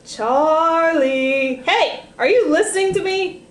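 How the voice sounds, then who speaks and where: A woman's voice: very high-pitched, takes it slowly, voice pushed up. A woman voicing a bratty, insulting sister in a comic story, snide and exclamatory. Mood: snide, needling. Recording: roomy echo with noise.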